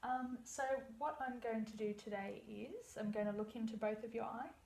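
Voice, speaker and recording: Young woman, slightly gravelly, calm practitioner walking a client through an eye exam; echoey room with faint hum. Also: a little high, normal speed. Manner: explains step by step, reassuring. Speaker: iridology practitioner. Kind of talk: practice consultation.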